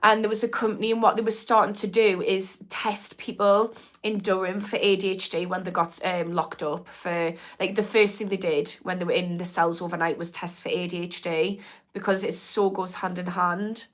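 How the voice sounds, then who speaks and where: A woman's voice: on the low side, little pitch movement, moderate pace, a bit bright. A woman in her thirties with a mild Newcastle accent, chatting frankly on a livestream, advising viewers and reading out their comments. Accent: mild northern English (Newcastle). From Newcastle, England. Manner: frank, chatty, lots of "like" fillers. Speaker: mindset livestream host and former therapist.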